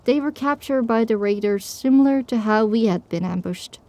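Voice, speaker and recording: A woman's voice: fairly high pitch, normal speed, mellow. A woman reading scripted first-person story narration for an audio drama.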